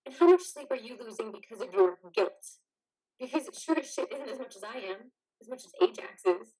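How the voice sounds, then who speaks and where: A woman playing the exhausted, fiercely protective partner of a troubled veteran in a play reading, blunt and biting, with a husky voice. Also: fairly high pitch, animated, brisk, a little mellow, low-quality recording. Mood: bitter, accusing. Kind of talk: play reading, modern drama.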